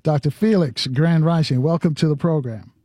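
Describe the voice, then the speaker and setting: A man hosting a live radio talk show, speaking in a halting way and repeating words as he goes. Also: a little high, mellow, breathy edge, dry recording, studio quality. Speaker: radio host. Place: radio studio.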